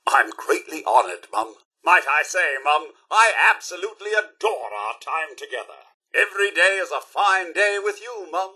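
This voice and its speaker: Game companion: loyal, well-mannered robot butler, British accent, bright edge, slightly rough tone, formal and theatrical. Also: male voice, sits high, animated, moderate pace, hardly any pauses, exaggerated voice. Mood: devoted. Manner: prim, formal, theatrical enunciation. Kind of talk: scripted video game voice lines.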